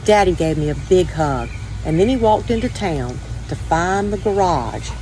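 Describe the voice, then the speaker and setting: Woman doing storytime narration for kids, voice a bit low, some noise behind her. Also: animated, normal speed, dark tone, low-quality recording. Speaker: story time reader. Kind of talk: read-aloud children's storybook narration, first person.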